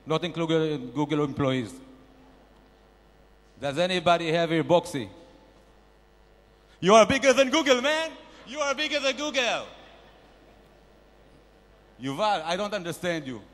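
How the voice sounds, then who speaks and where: A man's voice: normal speed, pauses often, lightly acted. A man playing a brash, boastful male investor nicknamed a shark, barking bossy mock-grand business advice at a conference panel. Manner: loud, bossy, mock-grand sales pitching. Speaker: comedian performing at a panel. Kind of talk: stand-up character bit. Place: large conference hall. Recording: reverberant conference hall.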